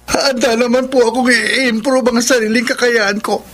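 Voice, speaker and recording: An actor playing a timid, anxious young film writer in a Filipino radio drama, stammering and deferential, with a Filipino accent. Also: male voice, extremely high pitch, steady tone, moderate pace, fairly bright tone. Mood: pleading.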